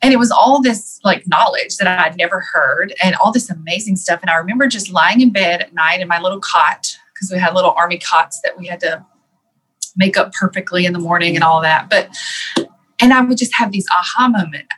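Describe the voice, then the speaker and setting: A woman speaking in a fairly low voice, sharing her personal story as an interview guest. She talks openly and reflectively, pausing on fillers like "um" and "you know" as she works through her memories. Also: a bit bright, husky, rough recording. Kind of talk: candid personal storytelling in conversation.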